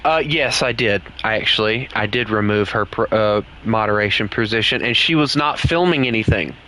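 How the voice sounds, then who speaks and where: Bright-voiced Southern gaming streamer. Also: male voice, on the low side, moderate pace, a little hiss or hum, rough recording. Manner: rambling, candid, talks to other players while playing. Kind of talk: casual live-stream chat.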